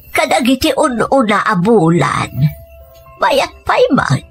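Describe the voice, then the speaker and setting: A woman's voice: mid-range voice, fairly bright tone, slightly rough, heavily acted. Woman acting an elderly, caring Ilocano grandmother, music behind. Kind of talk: audio drama storytelling.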